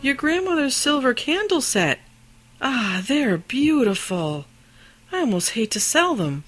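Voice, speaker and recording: A woman acting as a practical, teasing young wife in a radio drama, expressive and playfully incredulous. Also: sits high, a little slow, soft background music, full character voice. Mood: hesitant, sentimental.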